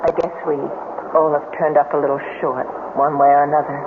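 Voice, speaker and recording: A woman's voice: deep voice, little pitch movement, fast, lightly acted. An actress playing an emotional, fretful young woman trapped in a cave, in 1970s radio drama style. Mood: fervent, anxious. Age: young adult. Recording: very noisy, phone-like old radio broadcast.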